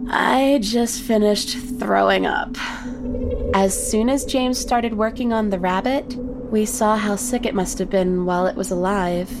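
A woman's voice: mid-range voice, fairly even intonation, measured pace, natural voice. A woman playing a young hiker reading her trail journal in horror audio fiction, wry, blunt and rattled, in a conversational, sweary way. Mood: disgusted, exasperated. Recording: music bed under the voice.

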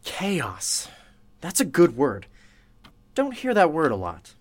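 A man's voice: fairly high pitch, wildly swooping pitch, slow, exaggerated voice. An actor playing an eager, inquisitive young male radio interviewer in a drama, conversational and a little hesitant in his phrasing. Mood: curious, probing.

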